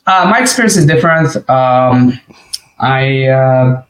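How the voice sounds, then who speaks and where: A man's voice: medium pitch, expressive, a little slow. Moroccan-accented man in an echoey room. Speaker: podcast guest. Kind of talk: conversational explanation. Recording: roomy echo with background noise.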